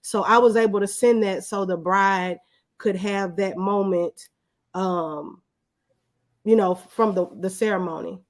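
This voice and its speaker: A woman in her thirties with a medium-pitched voice, chatting as a guest on a show. She speaks candidly and humbly, reflecting on her own experience in a casual conversational style. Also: fairly even intonation, unhurried. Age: 30s. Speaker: guest, videographer.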